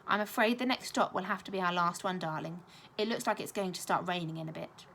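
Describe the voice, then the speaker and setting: A woman reading the part of a kind, patient mother in a children's story, warm, courteous and reassuring. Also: lowish voice, quick pace, creaky, a little hiss or hum. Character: kind, brightly polite mother, patient and determined.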